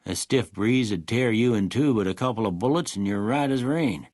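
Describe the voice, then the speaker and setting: A man playing a kindly, folksy old frontier doctor in a video game, with a husky voice and an unhurried American Western drawl. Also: fairly low pitch, a little mellow, no room sound, studio quality, lightly acted. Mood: wry, amused. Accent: American Western or Southern drawl. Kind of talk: scripted game dialogue.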